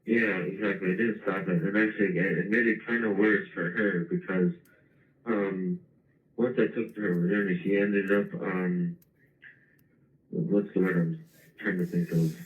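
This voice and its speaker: Low-voiced hesitant man on a phone line. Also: fairly even intonation, normal speed, distant mic. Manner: hesitant, many fillers. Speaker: interviewee in played clip. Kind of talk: interview answers. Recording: echoey phone-quality clip.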